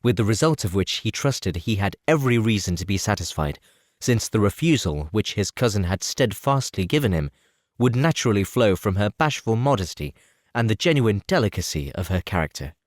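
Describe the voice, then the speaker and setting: Expressive male audiobook narrator. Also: lowish voice, fairly quick, no room sound, clean studio sound. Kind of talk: scripted narration.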